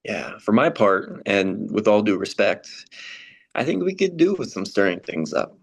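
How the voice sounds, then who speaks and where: A man plays a troubled American churchgoer in a readers theater dialogue. The voice is somewhat low. The delivery is frank, earnest and conversational. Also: close to an everyday voice. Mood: upset, frank.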